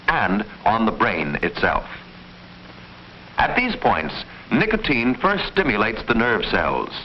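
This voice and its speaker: A man reading formal, measured, didactic scripted narration in the style of a mid-century educational film. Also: on the low side. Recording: muffled, noisy old film soundtrack.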